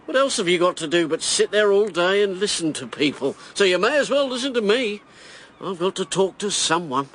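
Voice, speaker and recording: A brash, cynical British war hero running for election in a radio drama. His voice is high and forceful, with sweeping pitch. He speaks in blunt, punchy bursts. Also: expressive, brisk, slight background noise.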